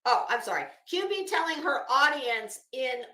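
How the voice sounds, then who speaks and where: A woman's voice: high-pitched, very animated, moderate pace, bright, forceful tone. A woman reading a document aloud with running commentary, sardonic and incredulous, with blunt, casual swearing. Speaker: host of commentary show. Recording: roomy, echoing sound.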